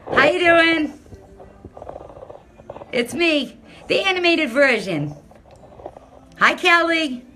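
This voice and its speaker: A middle-aged woman with a Massachusetts accent that drops her R's chats loudly into a phone held at arm's length. Her tone is bright and carries well, and she greets viewers by name as she talks. Faint music plays in the background. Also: high-pitched, sing-song, slow, pauses often. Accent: Massachusetts, non-rhotic. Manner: chatty, loud, talks to commenters by name. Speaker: Facebook live streamer. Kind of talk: casual live-stream chat with viewers. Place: home, by a window.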